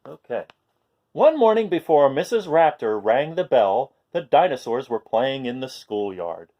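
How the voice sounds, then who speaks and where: A man reads a picture book aloud for young children. His pitch swings up and down a lot, and he breaks into chatty, questioning asides to his listeners in an expressive way. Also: unhurried, dull audio. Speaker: children's storytime reader.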